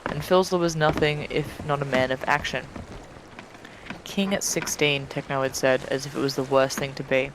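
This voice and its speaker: A man's voice: medium pitch, steady tone, moderate pace, background noise. A man reading fan fiction aloud dramatically as a streamer, dropping into casual chat, tripping on words and swearing offhandedly.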